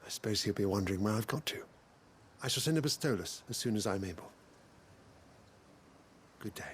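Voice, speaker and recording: An actor playing a dutiful, worried investigator in a fantasy video game, formal and courteous. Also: male voice, lowish voice, expressive, a little fast, slight background noise, close to an everyday voice. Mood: grateful, courteous.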